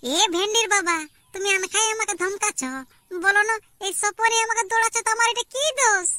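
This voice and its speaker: A woman's voice: very high voice, steady tone, a little fast, bright, forceful tone. A woman voicing a harried village wife and mother in a comic cartoon, complaining in a rural Purulia Bengali accent. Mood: aggrieved. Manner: complaining, protesting. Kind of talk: amateur comic cartoon dialogue.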